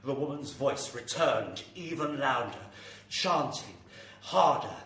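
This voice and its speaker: Man as a bright, echoey, ominous horror narrator. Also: medium pitch, lively intonation, takes it slowly, exaggerated voice. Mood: suspenseful, ominous. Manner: theatrical, suspenseful, building to intensity. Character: grave, ominous male horror story narrator. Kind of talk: scripted dramatic horror narration. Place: live performance venue with audience. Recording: echoey live hall, distant mic, faint noise.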